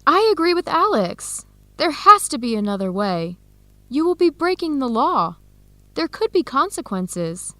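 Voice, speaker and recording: An actress playing a troubled, earnest young American scholar in a radio drama for English learners. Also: female voice, high voice, sing-song, unhurried, fairly bright tone, no echo, exaggerated voice. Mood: alarmed, disapproving. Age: young adult.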